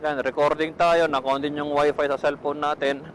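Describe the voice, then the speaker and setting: A man's voice: fairly high pitch, level tone, moderate pace, low-quality recording. A Filipino man giving casual vlog commentary as he rides a motorcycle, speaking into a helmet intercom microphone. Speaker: motovlogger testing gear. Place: outdoors on a motorcycle on the road. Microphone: Bluetooth helmet intercom microphone. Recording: helmet intercom mic with wind, engine noise. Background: road and vehicle noise.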